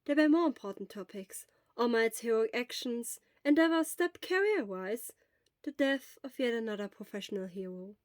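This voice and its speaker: A woman's voice: fairly high pitch, animated, normal speed, no echo. Woman narrating a story, close dry sound. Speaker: podfic reader.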